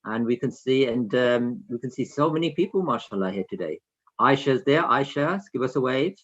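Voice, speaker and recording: A man's voice: mid-range voice, expressive, normal speed. Man hosting online, slightly dull audio. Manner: addresses children directly with instructions and questions. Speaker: host of an online children's session. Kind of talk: informal welcome to online event attendees. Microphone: video call.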